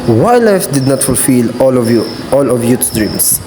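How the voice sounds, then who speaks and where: A man's voice: medium pitch, big pitch swings, moderate pace, natural voice, low-quality recording. Man acting a troubled, weary husband and father. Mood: brooding. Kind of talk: amateur radio drama acting.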